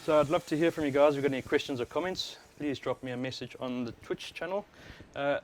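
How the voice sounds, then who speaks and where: A man's voice: fairly high pitch, fast talker. South African man streaming over background noise. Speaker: livestream host, overclocker. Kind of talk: casual live stream commentary. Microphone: wireless worn microphone. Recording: clear steady background noise.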